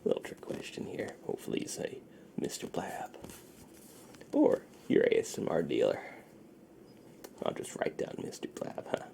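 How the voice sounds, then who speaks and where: A man doing a soft-spoken ASMR roleplay as a shady, friendly underground dealer, with slow folksy patter that often drops to a near-whisper. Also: huge pitch swings, a little hiss or hum. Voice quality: gravelly, low, often breaking into near-whisper.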